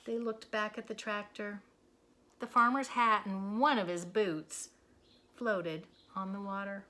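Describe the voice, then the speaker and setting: A woman reading a children's story aloud with expressive, dramatic and deliberate phrasing. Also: medium pitch.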